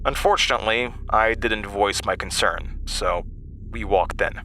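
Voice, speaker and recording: A man's voice: on the low side, a little slow, sharp tone, a little hiss or hum, poor audio. A man playing an earnest, rueful narrator of a horror audio drama, recounting his childhood in conversational, confessional asides. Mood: uneasy. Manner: conversational, hesitant asides to the listener.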